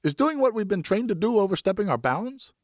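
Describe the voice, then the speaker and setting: A man's voice: high voice, sing-song, a little fast, no echo, clean studio sound, voice pushed up. A man voicing a defiant, argumentative young boy in an audiobook story. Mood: indignant. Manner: argumentative, protesting. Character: indignant, defiant young boy, son of the narrator.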